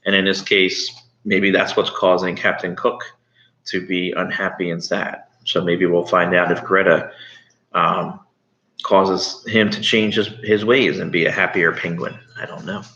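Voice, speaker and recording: A man's voice: sits low, level tone, measured pace, bright, forceful tone. A man teaching third graders online, giving informal, conversational instructions to his pupils. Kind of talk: informal online read-aloud and assignment talk to pupils. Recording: dull lo-fi sound.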